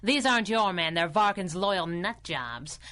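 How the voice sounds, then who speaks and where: An actress playing a brash, wisecracking heroine in a radio drama, wry, clipped and slangy. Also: female voice, on the low side, expressive, a little slow, sharp tone. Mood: sardonic. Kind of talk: old-time radio drama acting.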